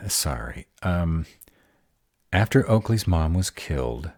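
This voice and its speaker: Man playing a very deep, grumbly were-dragon. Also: very animated, takes it slowly, a little mellow, no echo, studio quality, heavily acted. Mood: distracted, grumbling. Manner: grumbling, conversational asides. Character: grumbly, smitten male were-dragon, easily distracted. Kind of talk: audio roleplay fantasy drama.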